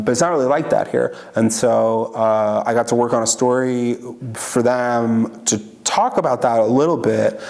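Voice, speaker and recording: A man's voice: fairly low pitch, creaky, darkish tone. A man in an oral history interview, talking casually and rambling with many fillers and restarts. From Washington state.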